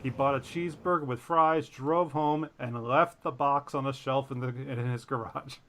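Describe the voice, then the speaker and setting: A man's voice: medium pitch, fairly even intonation, moderate pace, a bit bright. A man co-hosting a podcast, reading a news story aloud and breaking off into jokey, self-deprecating tangents. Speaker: podcast co-host.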